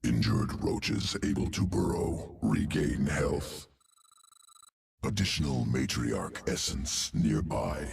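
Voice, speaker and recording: A man voicing a cold, obsessive insectoid alien geneticist in a video game, speaking in clipped, telegraphic phrases with a guttural croak. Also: lively intonation, slow pace, heavily acted. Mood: cold, clinical. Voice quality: deep guttural gravelly croak. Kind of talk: video game character dialogue. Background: music.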